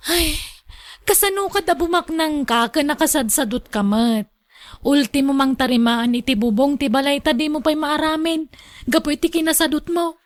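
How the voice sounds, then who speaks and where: A woman plays a practical, earnest Ilocano wife and daughter. Her voice is high and recorded dry and close. She speaks as a radio drama heroine who keeps the household going. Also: normal speed, dry recording, natural voice. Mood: scolding.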